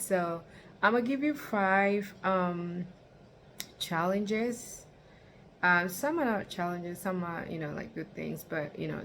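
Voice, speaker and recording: A woman's voice: lowish voice, a bit bright, a little hiss or hum. A young woman from Kenya, vlogging in a casual, rambling way with lots of fillers. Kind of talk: casual talk to camera.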